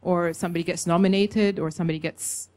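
A woman's voice: on the low side, fairly even intonation, fast talker, a little mellow. A woman making a proposal in a group discussion, polite and persuasive, with some stammering. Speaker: meeting participant.

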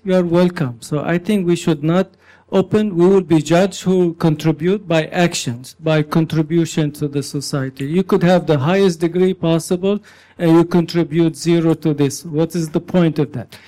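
A man speaking in a group discussion, halting and earnest, with many fillers. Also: fairly high pitch, steady tone, warm tone. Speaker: meeting participant.

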